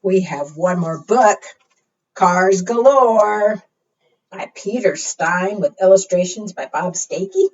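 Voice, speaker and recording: A woman's voice: medium pitch, takes it slowly, a little mellow. A woman leading a preschool storytime, reading rhymes aloud and putting questions to small children in a sing-song way. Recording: muffled, distant microphone.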